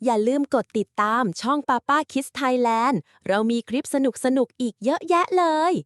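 A woman's voice: sits high, unhurried, fairly bright tone, no echo, studio quality. A woman voicing a sweet, friendly grown-up in a children's cartoon, speaking in a sing-song way to young kids. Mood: friendly sign-off. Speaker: channel presenter addressing young children.

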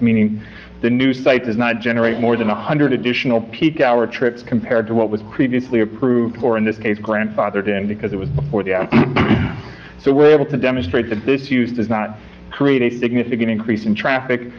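A man testifying as a traffic engineer at a township board meeting, giving measured, technical answers from a podium. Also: medium pitch. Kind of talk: formal testimony at a public meeting. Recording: muffled room recording.

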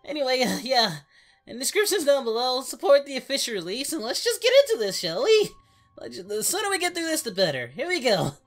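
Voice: sits high, big pitch swings, brisk, soft background music. A performer voicing a boastful but easily frightened cartoon anime hero, whiny and stammering, in a comedic reaction video. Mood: nervous hosting. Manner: whiny, frantic, stammering, prone to shrieking. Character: cartoon anime hero, jumpy scaredy-cat, boastful yet panicky.

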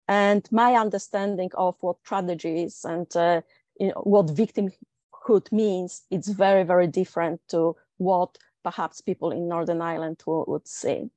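A middle-aged woman from Poland with a Polish accent, speaking on a discussion panel in a measured, reflective way with many filler sounds. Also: lowish voice, fairly even intonation, dark tone, no echo.

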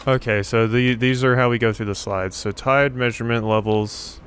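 A man's voice: mid-range voice, fairly even intonation, moderate pace, a bit bright, clean tone. A man giving casual game commentary, musing to himself as he works things out. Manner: thinking aloud, musing to himself. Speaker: let's play host.

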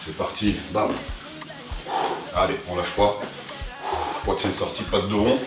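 A man's voice: low voice, steady tone, unhurried. A young man coaching a workout while exercising, cheering on with short, motivating instructions. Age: young adult. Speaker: fitness coach. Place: living room, indoors. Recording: very echoey room, distant mic, music behind. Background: clear music.

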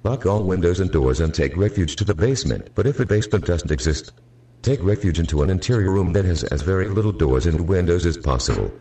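A synthetic man's voice reading a formal emergency alert in a flat, robotic manner, played back from a video. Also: low voice, quick pace. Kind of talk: formal emergency broadcast announcement. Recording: distant-sounding playback of a video.

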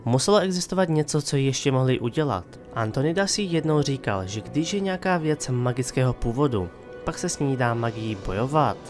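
Male narrator, scripted dramatic storytelling, mid-pitched, soft music underneath. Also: expressive. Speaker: narrator and host. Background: faint background music.

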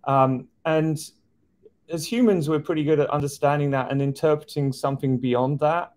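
A middle-aged man guesting on a video call from an office, speaking in a thoughtful, measured way. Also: on the high side, darkish tone. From outside the US. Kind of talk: casual chat on a video call. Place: office indoors. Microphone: video call webcam.

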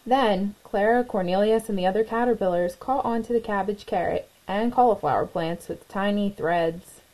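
A woman storyteller reading a children's picture book aloud in an expressive, clearly phrased way. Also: medium pitch, moderate pace, rough recording. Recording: noticeable background noise.

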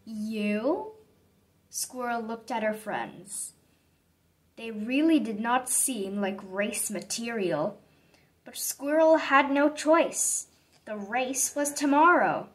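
A woman reading a children's story aloud in an expressive, dramatic manner for young listeners. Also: on the high side, takes it slowly. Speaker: storybook reader. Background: background music.

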